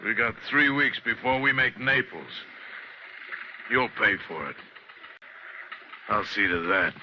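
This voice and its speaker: A low-voiced sailor, suspicious and bullying, who probes and threatens in rough grammar. It is vintage radio drama, muffled under clear background noise. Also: male voice, expressive, takes it slowly. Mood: threatening. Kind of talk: old-time radio drama acting.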